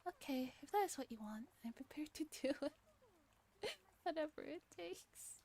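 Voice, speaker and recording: A woman's voice: high voice, lively intonation, normal speed, darkish tone, voice pushed up. A woman voicing an eager, earnest young android girl who speaks politely, in a visual novel.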